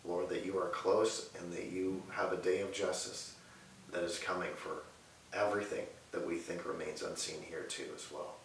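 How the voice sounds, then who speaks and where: A man in his thirties preaching and praying earnestly from a sofa in a living room, measured and repetitive in his phrasing. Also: deep voice, fairly even intonation, vocal fry, distant mic. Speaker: pastor. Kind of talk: sermon and prayer. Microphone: far camera mic. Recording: echoey room audio with faint hiss.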